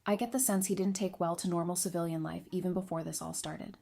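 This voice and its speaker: A woman's voice: fairly low pitch, fairly even intonation, quick pace, vocal fry, dark tone. An actress playing a candid, dry-witted woman adviser in an audio drama, talking in a frank, conversational way. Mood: thoughtful, frank. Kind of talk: fan audio drama acting.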